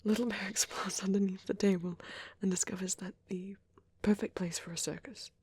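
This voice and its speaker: A performer voicing a shy, gentle young vampire boyfriend in an ASMR roleplay, with a soft, hesitant, often whispered delivery. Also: medium pitch, steady tone, slightly rough, no echo. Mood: softly reading aloud. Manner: hesitant, halting, murmured musing.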